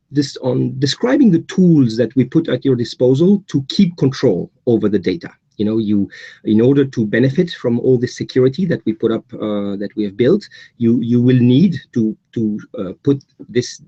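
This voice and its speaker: A man's voice: medium pitch, mellow, husky, dry recording, lo-fi sound. A middle-aged Belgian man with a Belgian accent, giving a webinar presentation with frequent fillers and repeated words. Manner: hesitant, many repeats and fillers. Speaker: guest speaker.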